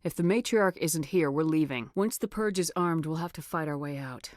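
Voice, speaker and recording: An actress playing a tough, no-nonsense space marine commander in a video game, speaking in a firm, clipped, authoritative way. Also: female voice, low-pitched, moderate pace, no room sound, close to an everyday voice. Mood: grim, businesslike. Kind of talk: scripted video game dialogue acting.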